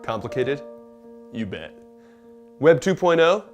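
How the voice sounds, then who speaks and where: A man playing a smug, solemn tech pitchman in a parody advert, clipped and self-serious. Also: very animated, slow pace, highs cut off, faint music behind. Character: smug, solemn tech promo pitchman spouting cloud nonsense.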